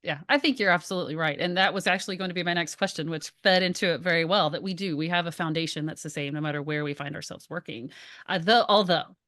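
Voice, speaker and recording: A Southern American woman hosts the conversation in a lower register, asking questions and chiming in with quick affirmations. Her voice sounds close and dry, with no room around it. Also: a bit bright, no room sound. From Southern United States. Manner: friendly, quick affirmations and laughter. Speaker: podcast host. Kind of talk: interview questions.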